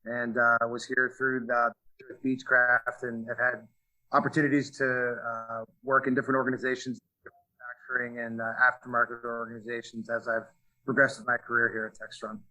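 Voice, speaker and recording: A man's voice: mid-range voice, flat delivery, normal speed, sharp tone, rough recording. A man answering interview questions about his career, earnest, with many fillers and restarts. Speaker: value stream manager, guest. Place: aircraft manufacturing facility.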